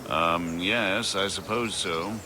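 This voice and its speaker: Hesitant guard, noisy ambience. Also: male voice, low voice, slow pace. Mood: nervous, evasive. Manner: hesitant, evasive. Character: hesitant, sheepish male medieval gate guard. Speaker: voice actor in a video game. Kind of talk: dramatic game cutscene dialogue. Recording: clear background ambience noise.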